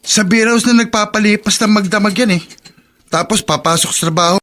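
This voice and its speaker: A man's voice: sits high, fairly quick, pushed and bright. A man playing a put-upon construction laborer, hesitant and grumbling, in a Filipino radio drama.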